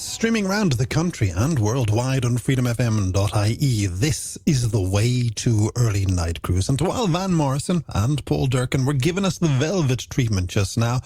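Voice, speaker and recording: Irish man, playful gravelly late-night radio host. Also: mid-range voice, darkish tone. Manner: playful, flowery, theatrical phrasing. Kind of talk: scripted radio presenting.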